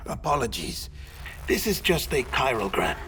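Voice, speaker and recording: A man playing an earnest, apologetic middle-aged government doctor in a cinematic video game, speaking in a hesitant, polite, deliberate way. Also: mid-range voice, big pitch swings, bright, forceful tone, slight background noise, full character voice. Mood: apologetic, solemn.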